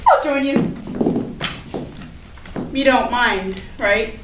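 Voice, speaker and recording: Woman acting breezy high-pitched teenage girl, echoey. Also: extremely slow. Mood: breezy, friendly. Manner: chatty, hedging, casual. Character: popular teenage schoolgirl, breezy, defensive, self-excusing. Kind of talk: staged reading of a play, naturalistic dialogue. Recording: echoey room from far off, noisy.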